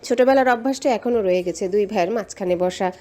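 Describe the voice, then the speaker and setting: A woman's voice: mid-range voice, fast, dark tone. Woman narrating a romantic story over music. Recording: music under the voice. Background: background music.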